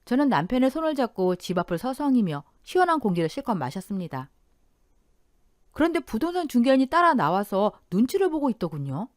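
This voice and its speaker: A woman tells a first-person story in a steady, confiding tone, and her voice moves a lot in pitch and sits fairly high. The recording is dry and close, with no room sound. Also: fairly quick, no room sound. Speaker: story narrator.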